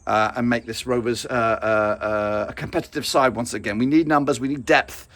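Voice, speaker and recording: A man losing his voice, giving an excitable, rambling reaction to a football match with many fillers and restarts. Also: medium pitch, moderate pace, no echo. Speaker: football fan vlogger. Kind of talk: casual match reaction to camera.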